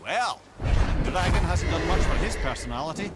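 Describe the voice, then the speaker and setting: A man's voice: fairly high pitch, lively intonation, moderate pace, very noisy, close to an everyday voice. An actor playing a witty, wry old Scottish counselor in a video game, eloquent and theatrical in his storytelling. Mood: wry, grumbling. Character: witty, wry old counselor, a well-travelled talking head. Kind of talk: video game character dialogue.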